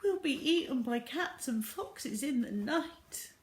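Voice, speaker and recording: A woman voicing small excitable boys in a children's story, eager and plaintive. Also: high voice, slow pace, echoey room, pitched above the performer's own voice. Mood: tearful. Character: small excitable young boys, Philip and William.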